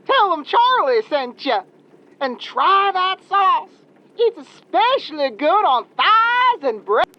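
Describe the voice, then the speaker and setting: Casting: woman to play hearty foodie phoning a radio show; very lively pitch, unhurried, dull phone sound. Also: exaggerated voice. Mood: hearty, enthusiastic. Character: brash, cheerful food-loving female caller. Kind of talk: audio roleplay acting. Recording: dull phone-in caller line.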